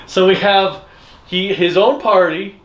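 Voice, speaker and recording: Guy with a fairly high voice recapping and bantering on a fan show, roomy echo. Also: takes it slowly, highs cut off. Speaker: co-host of fan discussion show. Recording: echoey room.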